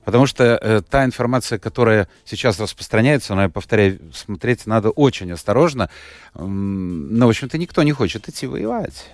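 A man's voice: fairly low pitch, lively intonation, a little fast, no echo. A man hosting a studio talk show. He chats with his guest and reads viewer questions aloud. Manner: conversational, reads listener messages aloud.

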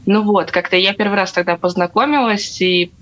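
A young woman from Latvia telling her own story casually as an interview guest, with frequent hesitant pauses. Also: mid-range voice, a bit bright, dull audio, a little hiss or hum. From Riga, Latvia. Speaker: guest, theatre accordionist.

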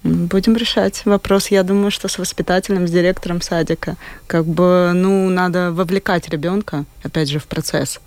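A woman's voice: fairly low pitch, steady tone, normal speed, few pauses, darkish tone, no echo. Tentative mother on radio, dry sound. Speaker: parent guest. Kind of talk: radio discussion.

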